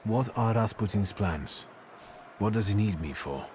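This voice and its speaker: A man's voice: lowish voice, animated, unhurried, darkish tone, slight background noise. An actor playing a guarded, blunt male occultist in a video game, with a probing manner. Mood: suspicious. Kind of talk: dramatic video game acting.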